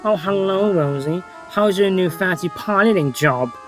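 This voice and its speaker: A man's voice: sits high, moderate pace, a bit bright, faint music behind. A man playing a grumpy, devious diesel engine in a children's railway story, sarcastic and boastful in manner.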